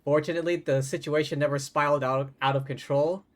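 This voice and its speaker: A man's voice: fairly high pitch, steady tone, quick pace. A man livestreaming a game, reading dialogue aloud and adding playful, wry, casual asides. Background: game music.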